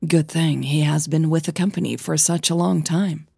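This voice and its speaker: A woman's voice: deep voice, fairly even intonation, normal speed, vocal fry, mellow, slightly rough, dry recording, clean studio sound. A woman narrating a fiction audio drama in a scripted storytelling style.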